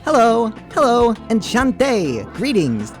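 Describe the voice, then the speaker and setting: Man putting on an affected, high-pitched socialite wannabe. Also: wildly swooping pitch, slow pace, heavily acted. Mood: showily confident. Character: awkward partygoer striving to seem confident and sophisticated. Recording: music behind the voice.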